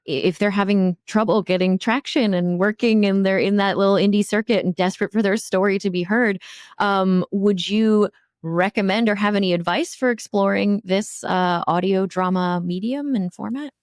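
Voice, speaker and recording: A woman's voice: medium pitch, fairly even intonation, normal speed, no room sound, clean studio sound. A woman hosting a podcast interview in a conversational style. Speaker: podcast host.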